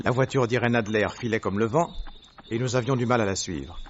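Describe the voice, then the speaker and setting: A man's voice: medium pitch, fairly quick, lo-fi sound, slight background noise, natural voice. An actor playing a witty, eager male detective who tells things playfully and vividly in a French radio drama. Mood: excited, urgent.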